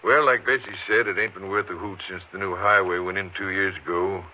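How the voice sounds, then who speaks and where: An actor playing a folksy small-town motel owner in a 1950s radio western, with a rustic, somewhat hesitant way of talking. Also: male voice, deep voice, fairly even intonation, brisk, close to an everyday voice. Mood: affable. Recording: hissy, muffled old 1950s radio recording.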